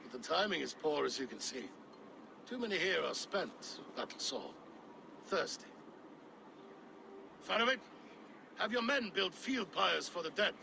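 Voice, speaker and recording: A boastful Viking warlord from a game, gravelly and rather high for a man. He is imperious and mocking, his pitch swinging widely as he commands. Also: expressive, measured pace, pauses often, noisy background, exaggerated voice. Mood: weary, commanding. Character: boastful domineering Viking warlord, conqueror of the North.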